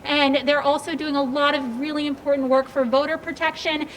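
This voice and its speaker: Woman, emcee, high voice, upbeat, noisy outdoor recording. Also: little pitch movement, a little fast, fairly bright tone. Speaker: event emcee. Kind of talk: introducing a speaker.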